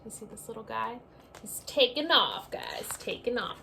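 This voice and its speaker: A woman teacher reading a picture book aloud to young children in an expressive, sing-song storybook manner, speaking to them directly. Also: on the high side, moderate pace, gravelly, slight background noise.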